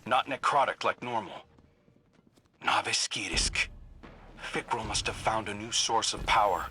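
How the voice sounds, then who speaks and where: An actor playing a resolute, principled commander giving earnest mission briefings in a video game. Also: male voice, on the low side, unhurried, sharp tone. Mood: focused, measured.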